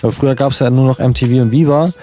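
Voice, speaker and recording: A man interviewing a guest in a relaxed, colloquial chat. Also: medium pitch, racing, soft background music.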